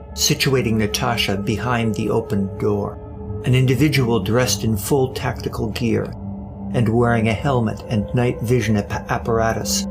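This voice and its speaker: A man narrating a scripted audio drama with deliberate, suspenseful storytelling and wry touches. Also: mid-range voice, gravelly.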